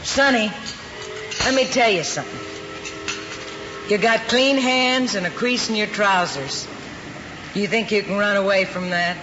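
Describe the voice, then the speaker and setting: An actor with an American accent playing a world-weary, blunt counter worker in a muffled, noisy old television drama. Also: male voice, sits high, music in the background. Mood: knowing, blunt. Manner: folksy, blunt, unhurried working-class talk. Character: world-weary, plain-spoken older lunch counter worker. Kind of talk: mid-century American television drama acting.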